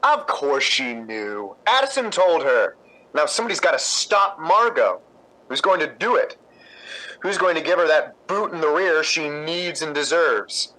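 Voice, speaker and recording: Man acting an animated, exasperated, high-voiced playwright. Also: measured pace, rough recording. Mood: annoyed, wry. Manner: sardonic, articulate, theatrical. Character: exasperated, witty male playwright, cutting and proud. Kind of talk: radio drama acting in 1950s style.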